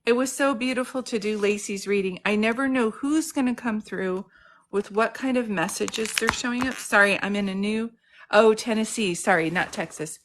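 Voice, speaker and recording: Brief: middle-aged woman, mid pitch, casual livestream host, chatty, greets viewers by name, phone mic. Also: normal speed, fairly bright tone, a little hiss or hum. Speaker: psychic medium host. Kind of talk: casual live-stream chat. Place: home in Santa Cruz.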